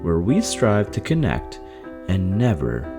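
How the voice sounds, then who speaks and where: A man's voice: sits low, wildly swooping pitch, unhurried. A man reading a scripted sign-off as a podcast host. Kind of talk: scripted outro announcement. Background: plucked guitar music.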